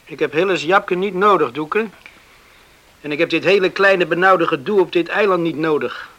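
A man's voice: on the high side, moderate pace, fairly bright tone, natural voice. A man acting a troubled, restless young islander who longs for the sea in a Dutch radio play, evasive and discontented.

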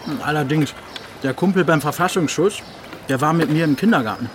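A man's voice: fairly high pitch, a little fast, noisy background, natural voice. An actor playing an easygoing, home-loving local policeman who talks in a plain, conciliatory way.

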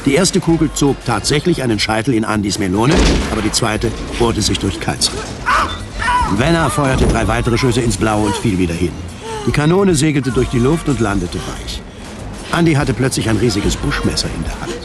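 A man's voice: mid-range voice, animated, moderate pace. A man reading scripted narration for a radio drama. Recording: loud music underneath. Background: loud music.

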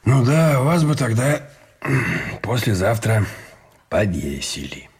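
An actor playing a cynical, smugly self-justifying imprisoned Russian officer in a radio drama, with a sardonic, theatrical drawl. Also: male voice, mid-range voice, big pitch swings, takes it slowly, slightly rough, full character voice.

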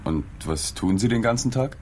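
A man's voice: on the low side, expressive, normal speed, darkish tone, a little hiss or hum, natural voice. An actor playing a reserved male journalist in a radio play, narrating and asking questions in a sober, understated way. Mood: tentative, inquiring. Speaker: narrator and reporter figure.

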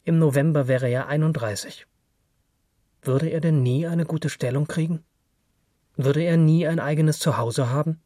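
A man's voice: on the high side, moderate pace, warm tone, no echo. Male literary narrator in a dry room.

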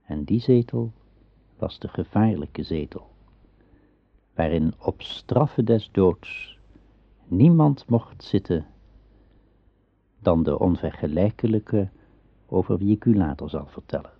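A man narrating an Arthurian legend in a radio play, measured and solemn like a storybook teller. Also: low-pitched, expressive, breathy edge, no room sound, exaggerated voice. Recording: dull old radio drama recording.

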